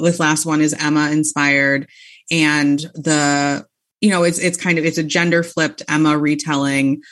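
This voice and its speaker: A woman author and bookseller chatting as an interview guest, enthusiastic and full of fillers. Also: low voice. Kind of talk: casual interview chat.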